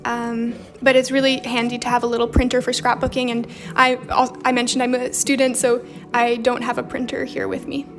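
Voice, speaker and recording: High, even-voiced young woman with a slight Romanian accent. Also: a little fast, hardly any pauses. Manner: chatty, reads viewer comments aloud and answers them. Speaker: livestream host. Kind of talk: casual livestream chat while journaling. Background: faint background music.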